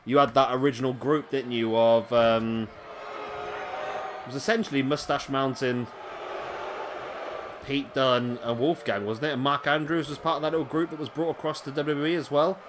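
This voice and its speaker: A man giving casual play-by-play commentary on a wrestling video game, chatty with rambling asides to viewers, his voice going a little hoarse. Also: medium pitch, fairly even intonation, normal speed, noisy background. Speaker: gaming commentator. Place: home room, late at night.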